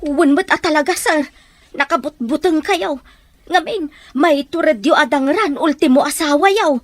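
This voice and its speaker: A timid, chattering servant , played by a woman with an Ilocano Filipino accent. Her voice is very high and recorded dry, with a deferential way of talking suited to radio drama. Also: moderate pace, no room sound, natural voice. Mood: startled. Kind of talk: Ilocano radio-style audio drama acting.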